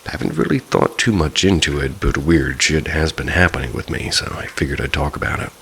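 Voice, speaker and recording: Very deep, gravelly male storyteller over rain. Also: brisk, a little hiss or hum. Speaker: narrator reading listener-submitted ghost stories. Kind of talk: scripted first-person story narration for sleep. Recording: steady rain ambience behind the voice. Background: rain falling.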